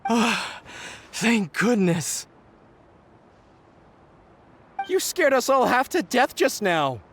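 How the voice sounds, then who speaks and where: A woman playing an earnest, anxious youthful villager in an anime game, with an eager, expressive delivery. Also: on the high side, takes it slowly, slight background noise. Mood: relieved.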